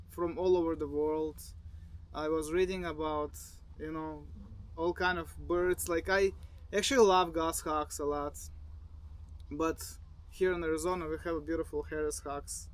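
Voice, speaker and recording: Bulgarian-accented man, high-pitched voice, halting casual talk outdoors, phone recording. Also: a bit bright. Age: 30s. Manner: halting, with restarts and fillers. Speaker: falconer. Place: outdoors in the desert.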